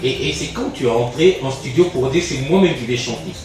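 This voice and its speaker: A man's voice: medium pitch, lively intonation, a little fast, darkish tone. A man casually interviewing a guest. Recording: distant, very echoey, some noise.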